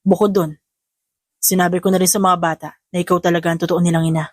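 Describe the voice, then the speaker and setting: A woman voicing a gentle, devoted young man, a fiancé and father, tender and affectionate in an audio drama. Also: lowish voice, flat delivery, fast, no echo, studio quality, pitched below the performer's own voice, natural voice. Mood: loving.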